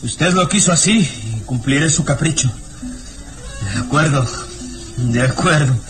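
A man's voice: mid-range voice, expressive, unhurried, over music, natural voice. An actor playing a gaunt, drunken, frightened man who snaps bitterly, in an old radio drama. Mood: angry, menacing. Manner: jittery, snapping, bitter. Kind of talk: 1960s radio drama acting.

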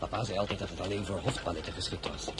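An actor playing a loyal, proper silk apprentice in a radio drama, speaking formally. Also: male voice, low voice, steady tone, racing, noisy background, natural voice.

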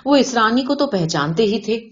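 A woman's voice: on the high side, lively intonation. A woman reading a scripted short story as an audiobook narrator, in a recording with dull, band-limited sound.

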